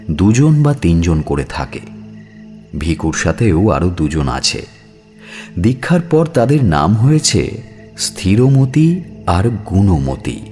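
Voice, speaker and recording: Low slow guy reading an audio story, expressive, music under him. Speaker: narrator. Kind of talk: scripted audio-story narration.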